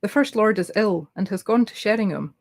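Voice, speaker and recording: A woman reading a classic novel aloud in a creaky voice, as scripted narration. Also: medium pitch, lively intonation, a little fast. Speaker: amateur audiobook reader, library team member.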